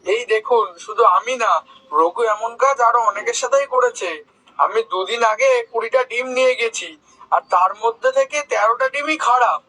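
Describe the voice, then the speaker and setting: A man's voice: very high voice, moderate pace, sharp tone, full character voice, rough recording. A man playing an earnest, emphatic village customer in a cartoon. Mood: accusing, indignant. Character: plain-spoken, righteous male village customer. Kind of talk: cartoon character acting.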